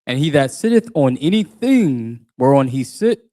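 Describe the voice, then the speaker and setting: A man reading old scripture aloud in a steady, formal way, sounding distant from the mic. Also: medium pitch, moderate pace. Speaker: scripture reader.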